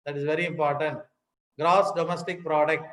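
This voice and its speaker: An older man with an Indian accent giving a formal lecture, emphatic and pausing often, repeating key words. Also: a little high, flat delivery, a bit bright. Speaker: academic speaker. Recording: echoey hall.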